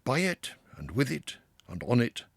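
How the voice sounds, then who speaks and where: A man voicing a hearty, self-assured male water rat who talks loudly and with relish. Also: medium pitch, measured pace, gravelly, breathy edge, dry recording, voice pushed up, exaggerated voice. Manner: loud, confident, lively, with chuckles.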